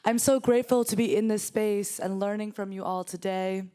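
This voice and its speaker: A woman's voice: medium pitch, fairly even intonation, normal speed, mellow, clear, resonant tone. A young woman from the San Francisco Bay Area introduces herself at a conference. She is heartfelt and speaks in short, separate phrases. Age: young adult.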